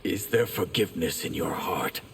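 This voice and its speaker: A man's voice: low-pitched, normal speed, vocal fry. A man playing a proud warrior in a fantasy video game, speaking earnestly and formally. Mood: grave, resolute. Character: proud male warrior addressing his ruler. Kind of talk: video game dramatic acting. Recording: long reverb, loud noise and clicks.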